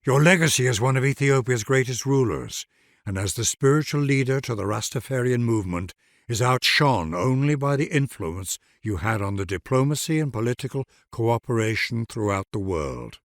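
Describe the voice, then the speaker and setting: A man's voice: medium pitch, animated, moderate pace, dry recording, studio quality. A man delivering scripted narration for a game in a grand, solemn, declamatory manner.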